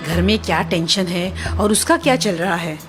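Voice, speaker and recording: A woman's voice: on the low side, lively intonation, moderate pace, faint music behind, close to an everyday voice. A woman voicing an older, nagging but caring mother-in-law in a children's cartoon story, given to grumbling and exclaiming. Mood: annoyed, grumbling.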